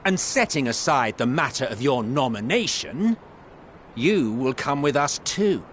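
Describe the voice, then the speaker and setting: An actor playing a stern official in a video game cutscene, speaking formally and with command. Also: male voice, medium pitch, sing-song, measured pace, a bit bright, slight background noise. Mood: stern, resolute. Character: stern, authoritative male official making an arrest.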